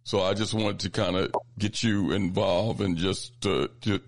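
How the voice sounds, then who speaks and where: Male radio host, low voice, gravelly, halting, lots of fillers. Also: fairly even intonation. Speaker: radio talk show host. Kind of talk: call-in talk radio.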